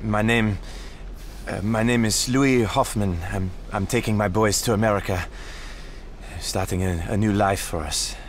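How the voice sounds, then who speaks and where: An actor playing a troubled father in an audio drama, speaking haltingly and pensively. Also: on the low side, fairly even intonation, slight background noise, close to an everyday voice. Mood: anxious, hesitant. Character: troubled, hesitant French father travelling with his boys.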